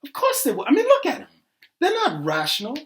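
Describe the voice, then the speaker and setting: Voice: sits high, huge pitch swings, fairly quick, full character voice. Someone playing a smug preachy know-it-all in a satire skit, talks down to you, impatient, emphatic, cusses. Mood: smug, dismissive. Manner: emphatic, condescending, impatient, swearing. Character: arrogant, self-righteous dogmatic believer, dismissive and condescending. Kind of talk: scripted satirical dialogue.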